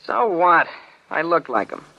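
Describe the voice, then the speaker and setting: An actor playing a defiant, sneering young criminal in jail, curt and slangy, in a 1940s radio drama. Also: male voice, on the high side, big pitch swings, unhurried, vocal fry, heavily acted. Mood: defiant, angry. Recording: dull, noisy old 1940s radio recording.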